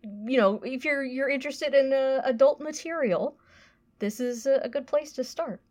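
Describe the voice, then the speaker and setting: A woman co-hosting a podcast, giving a casual review in halting, hesitant phrases. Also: high-pitched, darkish tone.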